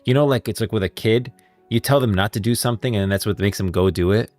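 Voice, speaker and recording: A man's voice: on the low side, quick pace, darkish tone. A man hosting a livestream with casual lore commentary, rambling emphatically and repeating his points. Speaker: livestream host. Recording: music playing behind. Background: background music.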